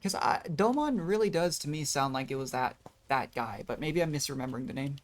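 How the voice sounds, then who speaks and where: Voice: medium pitch, animated, fairly quick. A video game streamer chatting casually, rambling and reflecting aloud while playing. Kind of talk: casual chat and commentary while gaming. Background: faint game music.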